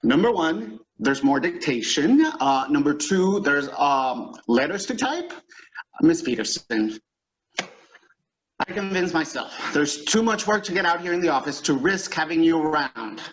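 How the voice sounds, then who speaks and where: A man's voice: on the high side, expressive, measured pace, rough recording. A man playing a flustered, impatient boss in old-time radio comedy style, blustering and stammering. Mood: exasperated, blustery. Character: flustered, impatient boss with a dictation-taking secretary.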